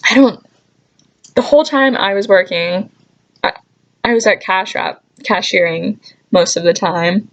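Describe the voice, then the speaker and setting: A woman's voice: mid-range voice, highs cut off. Young woman griping through casual stories. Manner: animated exasperated griping with sarcastic asides and mild swearing. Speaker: storytelling vlogger. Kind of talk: casual anecdote vlog, chatty.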